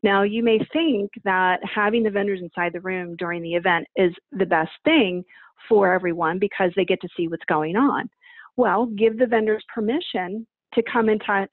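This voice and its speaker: A woman's voice: medium pitch, moderate pace, no room sound. A woman in her forties, a guest on a video call interview, explaining event planning with emphatic examples and rhetorical questions. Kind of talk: conversational interview answers. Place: home office, indoors. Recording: dry, tinny phone-like video call audio.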